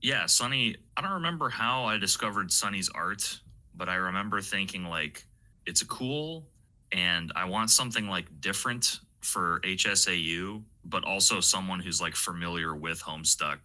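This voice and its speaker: Man, low pitch, strikingly bright and sharp tone. Creator-interviewer, casual, joking, rambling delivery. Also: lively intonation, moderate pace, poor audio. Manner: rambling, joking, informal with swearing. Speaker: audio drama creator and interviewer. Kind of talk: casual chat.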